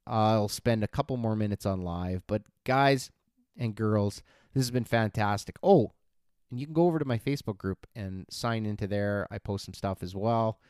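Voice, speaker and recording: A man with a low, smooth voice hosting a fitness podcast, talking in a loose and encouraging way and often restarting his sentences. The sound is dry and close, with no room behind him. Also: moderate pace, a little mellow, dry recording. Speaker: podcast host and fitness coach. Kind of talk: casual podcast and livestream wrap-up.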